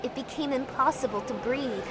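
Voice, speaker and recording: A woman playing an anxious, curious young woman who narrates her own story in a measured, introspective way for a visual novel fan dub. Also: sits high, noisy background, close to an everyday voice. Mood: tense, dramatic. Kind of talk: first-person scripted narration. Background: music.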